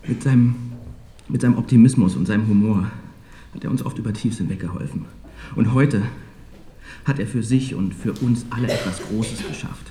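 An actor playing a solemn, halting bereaved footballer speaking publicly in a crime drama. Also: male voice, lowish voice, warm tone, close to an everyday voice. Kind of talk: press conference speech in a crime drama. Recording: roomy echo, faint noise.

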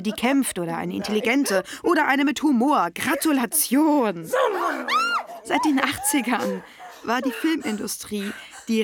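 A woman playing a defiant, sardonic young feminist activist delivering a polemical monologue in a radio play. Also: fairly high pitch.

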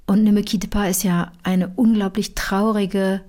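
A woman co-hosting a casual phone chat with a friend, talking in a lively, rambling way and drifting from topic to topic. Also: medium pitch, unhurried. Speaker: comedian and co-host.

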